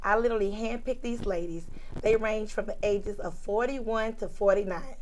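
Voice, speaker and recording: A woman in her forties hosting a casual group podcast chat, upbeat and quick to laugh. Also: mid-range voice, darkish tone, a little hiss or hum, rough recording. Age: 40s. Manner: upbeat, laughs easily, exclamatory. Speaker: podcast host.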